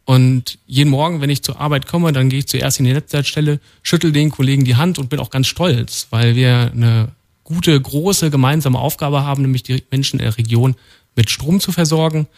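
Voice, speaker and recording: A man from North Rhine-Westphalia, a department head being interviewed in a radio studio, explaining things in a calm, matter-of-fact way. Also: mid-range voice, moderate pace. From North Rhine-Westphalia, Germany.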